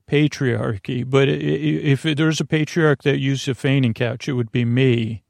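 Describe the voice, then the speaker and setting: A middle-aged man hosting a sleep podcast, rambling whimsically and haltingly, often interrupting himself and drifting off topic in a gravelly voice. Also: medium pitch, a little mellow, no echo, clean studio sound. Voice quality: gravelly, creaky. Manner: meandering, halting, self-interrupting, digressive. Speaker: podcast host.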